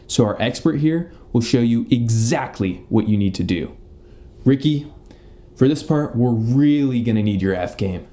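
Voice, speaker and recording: Young guy as an officious cop in a radio comedy, deadpan, low-key dark tone, middling pitch. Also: normal speed, lightly acted. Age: young adult. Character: deadpan, officious male detective interrogating a suspect. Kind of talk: comedic radio play acting.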